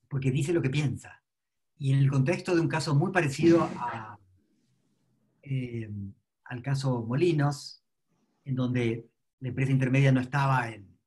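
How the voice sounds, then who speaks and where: A man's voice: medium pitch, a little mellow, husky. A middle-aged man, speaking on an online panel in a wry, lecturing way. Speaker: seminar panelist. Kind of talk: online academic panel discussion. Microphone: laptop mic on video call.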